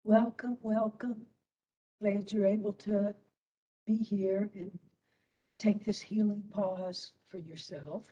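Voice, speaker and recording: A woman instructor leading a guided meditation in a soothing manner, with long pauses between short phrases. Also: mid-range voice, fairly even intonation, warm tone, far from the mic, muffled recording.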